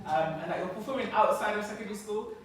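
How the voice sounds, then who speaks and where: A young woman poet chatting casually and giggly with an audience between poems, and reciting slowly and deliberately. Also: medium pitch. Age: young adult. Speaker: poet and performer. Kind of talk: casual stage chat, then poetry recital. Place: large indoor event hall with audience. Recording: echoey hall, distant mic, audience noise.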